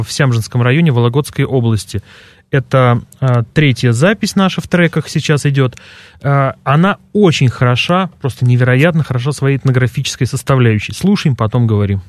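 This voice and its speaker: A man's voice: mid-range voice, no room sound. Dry-voiced male dialect expert. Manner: explanatory, measured. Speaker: dialectologist guest. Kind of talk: expert explanation on radio. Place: radio studio.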